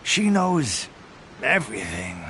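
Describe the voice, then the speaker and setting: A man's voice: medium pitch, big pitch swings, slow, pushed and bright, slight background noise, full character voice. A man playing a drowsy, laid-back loner soldier in a video game, languid and sighing. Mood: sleepy, content. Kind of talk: video game character dialogue.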